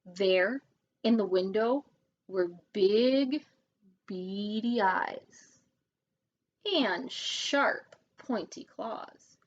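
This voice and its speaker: A woman reading a children's story aloud as an expressive narrator. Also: medium pitch, drawn-out words, highs cut off. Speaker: storybook reader.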